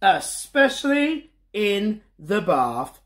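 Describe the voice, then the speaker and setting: A woman's voice: medium pitch, big pitch swings, extremely slow, pitched above the performer's own voice. A woman reading aloud, voicing a cheeky little boy who is proud of his smells. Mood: cheeky, boastful.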